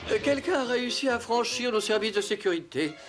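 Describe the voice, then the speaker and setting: A man's voice: very high-pitched, lively intonation, fairly quick, background noise. A man voicing a formal, fussy elephant palace official in a French-dubbed cartoon. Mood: flustered.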